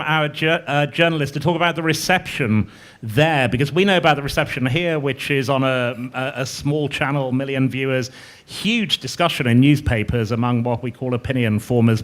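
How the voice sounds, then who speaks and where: A man's voice: mid-range voice, a bit bright. A man moderating an on-stage panel, putting questions with stammers, asides and joking apologies. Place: on stage before an audience.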